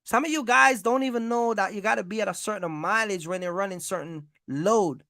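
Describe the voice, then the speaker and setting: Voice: mid-range voice, fairly quick, sharp tone, no echo, studio quality. An American livestream host giving informal business advice, speaking emphatically, repeating points and checking in with listeners.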